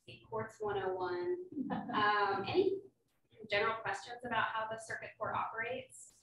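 A young woman, a circuit court judge, explaining the courts in a quiet voice with many ums. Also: a little high, expressive, moderate pace. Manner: soft-spoken, many ums, explaining plainly. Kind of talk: informal talk to a meeting. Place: hotel dining room, indoors. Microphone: far room camera. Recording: echoey room from far off.

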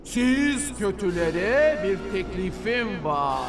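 A cartoon villain made of mist, grand and theatrical. The voice is high and slow, announcing itself imperiously over loud music. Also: male voice, big pitch swings, heavily acted. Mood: grandiose. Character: grandiose living fog-cloud crime lord.

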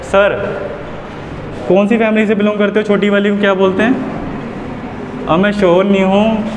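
A young man explains an English lesson to students, switching between Hindi and English and repeating examples patiently. His voice sits a little high and reaches a distant camera through an echoey classroom. Steady room noise sits under him. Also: moderate pace. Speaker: English teacher. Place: classroom with whiteboard. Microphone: far camera.

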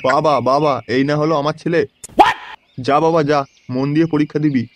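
A man's voice: a little high, steady tone, a little fast, very noisy, close to an everyday voice. A man voicing a crude, anxious village father in a comedy cartoon, blustering and swearing. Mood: urging, proud. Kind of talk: Bengali comedy cartoon dubbing.

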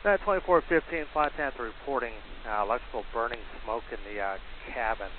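A man's voice: medium pitch, animated, fast. A man speaking as an airline pilot, making calls to air traffic control over a cockpit radio. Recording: tinny cockpit radio with background noise.

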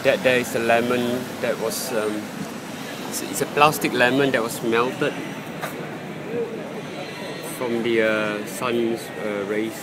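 Halting man on a noisy street. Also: medium pitch, fairly even intonation. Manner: halting, searching for words. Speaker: amateur video maker filming a street scene. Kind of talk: unscripted on-the-spot commentary. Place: outdoors on a busy city street. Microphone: handheld phone or camera, held by the speaker. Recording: noisy busy city street, traffic and crowd.

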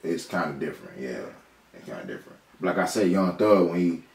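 A young man with a raspy, nasal voice, rambling casually as he gives a rapper feedback in a small home studio. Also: deep voice, quick pace. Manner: rambling, animated explaining with mock imitations. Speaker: producer giving feedback. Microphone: far camera. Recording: echoey room from far off.

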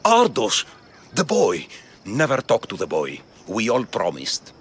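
A man's voice: on the low side, wildly swooping pitch, measured pace, a little hiss or hum. A man voicing a frightened older merchant in a video game, panicky and pleading. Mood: fearful. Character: frightened older male merchant, nervous hoarder.

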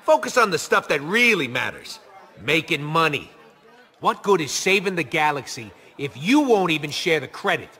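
Snarky gruff raccoon, high voice, bright tone, slow lively delivery, game. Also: male voice, a faint crowd. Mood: resentful. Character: brash cocky male raccoon mechanic. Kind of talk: video game acting.

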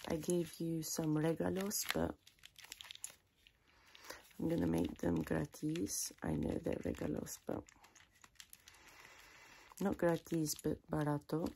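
A woman softly improvising an ASMR personal attention role play as a tailor, chatting in a rambling, chaotic way close to the microphone. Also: low voice, gravelly, background noise. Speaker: ASMR creator playing a tailor.

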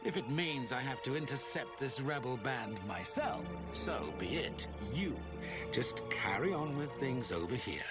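A man's voice: medium pitch, expressive, unhurried, lightly acted. A man playing a cold, scornful middle-aged enemy commander in a video game, speaking with sardonic deliberation. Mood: scornful, resolute. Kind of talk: video game villain acting. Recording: very loud music underneath.

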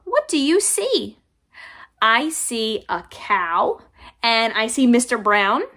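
A young woman teaching and reading aloud to small children in simple, deliberate phrases. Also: a little high, fairly bright tone. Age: young adult. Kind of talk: children's read-aloud lesson.

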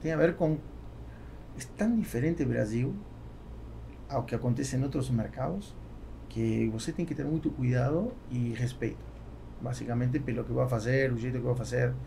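A man in his forties with a Spanish accent in Portuguese, talking casually and haltingly as a podcast guest. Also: medium pitch, creaky. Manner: halting, with repeated words. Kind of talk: casual interview talk. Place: small foam-padded podcast studio. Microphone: close studio microphone on boom arm. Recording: faint background noise.

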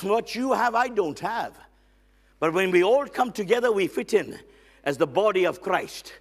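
A middle-aged man preaching a lively sermon as a guest on a church stage, loud and excitable, with frequent amens and hallelujahs. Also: sits high, moderate pace. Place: church stage with band instruments.

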